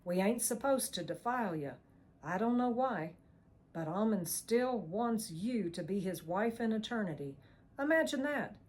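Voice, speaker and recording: A woman's voice: medium pitch, expressive, moderate pace, pitched above the performer's own voice, lightly acted. A woman narrator voicing a cruel male hired killer who mocks and threatens in crude slang. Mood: mocking. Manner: mocking, crude backwoods slang, shouting threats.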